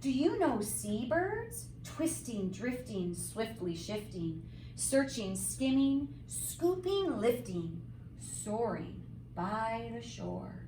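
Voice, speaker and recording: A woman reads a children's book aloud in an expressive, sing-song teacher's delivery. Her pace is unhurried and her pitch swings widely from phrase to phrase. The recording is roomy, picked up at some distance, with faint noise behind her. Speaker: teacher reading a storybook aloud. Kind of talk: expressive children's read-aloud with simple asides.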